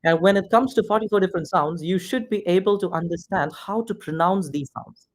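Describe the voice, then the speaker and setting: Man teaching English pronunciation, fairly high voice. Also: brisk. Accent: Indian, neutralized English. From India. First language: Malayalam. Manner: direct, repetitive, addresses students as "guys". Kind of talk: classroom instruction to students.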